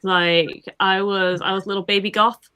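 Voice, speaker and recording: A woman's voice: mid-range voice, flat delivery, normal speed, pushed and bright, clean tone. A woman voice actor chatting in a casual Q&A over a video call, laughing often and poking fun at herself. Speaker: voice actor, guest. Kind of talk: casual livestream Q&A chat.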